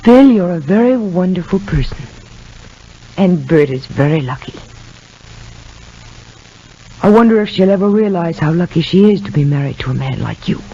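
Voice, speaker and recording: Earnest troubled wife in a 1950 radio serial, slightly rough voice, dull old recording. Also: female voice, on the low side, animated, normal speed, pauses often, mellow. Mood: wistful. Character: troubled, earnest married sister and mother, weighing her choices. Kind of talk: 1950s radio soap opera acting.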